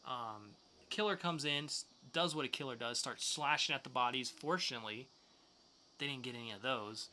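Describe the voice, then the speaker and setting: A man's voice: medium pitch, normal speed, bright, forceful tone, slight background noise. A man hosting a podcast, casually reviewing comic books with enthusiastic, loose, slangy asides. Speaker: podcast host.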